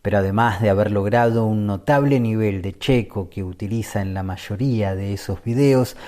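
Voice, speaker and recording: A man narrating a radio podcast feature in a measured, scripted read. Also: fairly low pitch, darkish tone. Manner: measured, read-aloud delivery.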